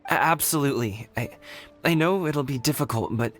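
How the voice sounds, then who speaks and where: A man's voice: mid-range voice, fairly quick, a bit bright, faint music behind, close to an everyday voice. An actor playing an earnest, modest young man in a video game, a devoted son who speaks hesitantly and bashfully. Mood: awed, nervous. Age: young adult.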